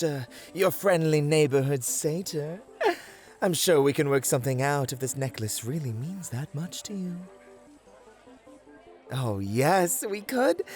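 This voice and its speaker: A man performs a vain, playful satyr trickster for a fantasy roleplay. He takes his time over flowery, coaxing phrases, swinging his pitch with teasing theatricality, with music beneath. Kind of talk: fantasy roleplay ASMR acting.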